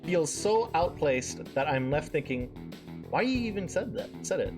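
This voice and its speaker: Streamer reading visual novel out loud, lively pitch, trips over words, guitar music behind. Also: moderate pace. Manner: stumbles and re-reads phrases. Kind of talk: live visual novel read-aloud narration.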